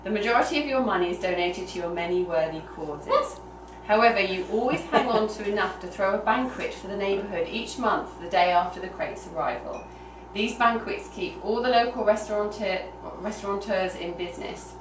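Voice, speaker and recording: A woman's voice: mid-range voice, brisk. A woman reading a story aloud in a live storytelling style, recorded in a room at a small gathering. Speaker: reader. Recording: noisy roomy live recording, distant mic.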